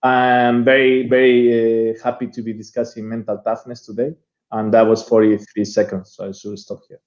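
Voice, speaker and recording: A man's voice: mid-range voice, level tone, moderate pace, darkish tone, dull audio. A man from Spain introducing himself as a panelist with dry, self-mocking jokes. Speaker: startup accelerator executive, panelist.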